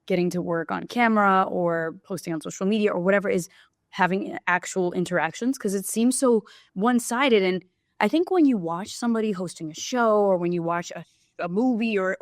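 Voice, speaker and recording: A woman from Brazil speaks as a podcast guest in a reflective, candid way. Her voice is mid-pitched. The recording is dry and close, with no echo. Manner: reflective, open storytelling. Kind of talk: casual interview chat.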